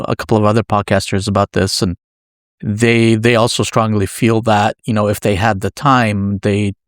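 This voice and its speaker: A man's voice: fairly low pitch, no room sound, studio quality. A man hosting a podcast interview in a conversational way, building thoughtfully toward his questions.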